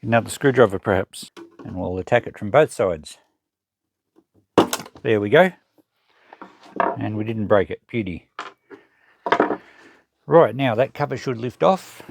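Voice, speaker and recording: An Australian man giving casual hands-on commentary, thinking aloud and explaining things in an unhurried way as he works. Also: fairly low pitch, expressive, creaky, heavy background noise. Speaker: presenter of a vintage electronics salvage channel.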